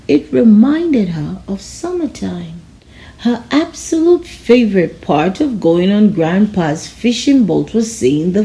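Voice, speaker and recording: A woman narrating a children's storybook aloud in a measured, expressive storytelling manner. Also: mid-range voice, gravelly, poor audio. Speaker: storybook reader.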